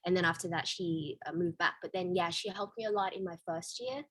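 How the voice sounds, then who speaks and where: A woman's voice: on the low side, brisk, slightly rough, no room sound. A young woman from Vietnam speaking English with a Vietnamese accent, chatting candidly as an interview guest with many fillers and restarts. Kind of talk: casual interview chat.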